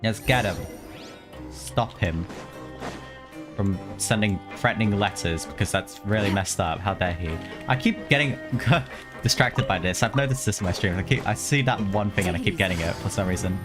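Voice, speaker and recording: A man's voice: fairly low pitch, moderate pace. A man streaming a video game, reacting casually with informal interjections and asides. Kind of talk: casual live gameplay reaction. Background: game music.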